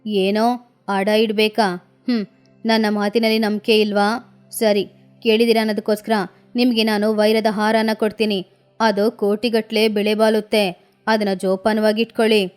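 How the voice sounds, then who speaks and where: Lady doing a stately rich peacock guy, cartoon story, lower voice, music behind. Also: level tone, normal speed, a little mellow, clear, resonant tone, close to an everyday voice. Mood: haughty. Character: pompous male peacock landlord claiming royal wealth.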